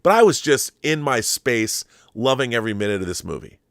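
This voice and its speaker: A man's voice: medium pitch, big pitch swings, brisk, vocal fry, dry recording, clean studio sound. A man hosting a film commentary show, talking in a casual, opinionated monologue with an effusive, emphatic, rambling manner and some swearing.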